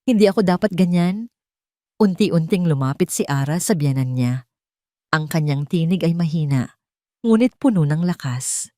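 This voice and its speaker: A woman's voice: sits low, expressive, normal speed, dark tone, dry recording, clean studio sound. A woman storyteller reading a scripted dramatic narration.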